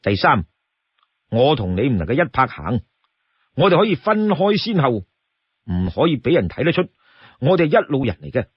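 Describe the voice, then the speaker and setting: Man as a shrewd, animated young outlaw. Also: mid-range voice, moderate pace, pauses often, slightly rough, no echo, muffled recording. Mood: firm, instructing. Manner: instructing, insistent.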